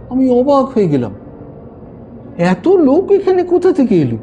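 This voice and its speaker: A man narrating a classic story in an expressive, theatrical way. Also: sits high, highs cut off, slight background noise. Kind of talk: dramatic audio-story narration of classic fiction.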